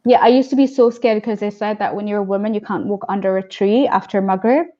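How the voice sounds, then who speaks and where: A woman guest in a casual video-call chat, talking quickly and breaking into laughs as she jokes. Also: mid-range voice, fairly even intonation, mellow, muffled recording. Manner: chatty, joking, quick to laugh.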